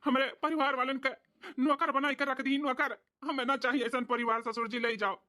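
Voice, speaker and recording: A performer plays an indignant village husband from a cartoon comedy. He complains in a bright, forceful voice with an Awadhi accent, rattling off rhetorical questions with little rise or fall. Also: sits high, fairly even intonation, moderate pace. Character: aggrieved village husband, scolding his wife to her father.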